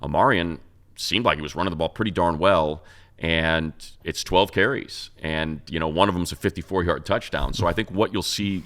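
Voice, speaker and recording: Sports co-host, American male, very deep yet bright voice, casual discursive analysis. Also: moderate pace. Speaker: sports show co-host and radio play-by-play announcer.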